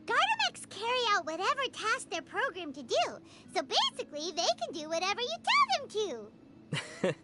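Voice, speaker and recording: Very squeaky, excitable little fairy. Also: female voice, moderate pace, over loud music, exaggerated voice. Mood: eager, scheming. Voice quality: squeaky, childlike. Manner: exclamatory, speaks of self in third person. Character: tiny, childlike, excitable, money-loving floating fairy companion. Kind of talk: video game voice acting.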